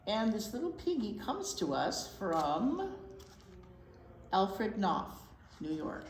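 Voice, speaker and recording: A woman leads a storytime for young children with very animated, expressive delivery. She reads and chats in simple words, in an echoey room with slight noise behind her. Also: medium pitch, slow pace. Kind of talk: animated picture book read-aloud for young children.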